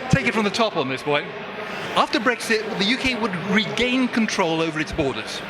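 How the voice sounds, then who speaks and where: A man's voice: high-pitched, big pitch swings, a little fast, over a noisy crowd. A man, a barrister giving an earnest, emphatic prepared statement outdoors. He stumbles and restarts whenever he goes off script. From Britain. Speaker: barrister and tech patent holder. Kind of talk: prepared political statement with retakes. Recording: noisy outdoor street recording with traffic. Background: loud traffic and street noise.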